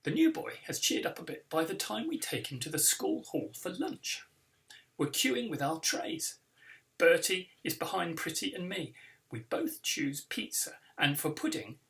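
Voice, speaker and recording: A British man, an author, giving an expressive reading of a children's story. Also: on the high side, gravelly, far from the mic. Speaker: author reading own book.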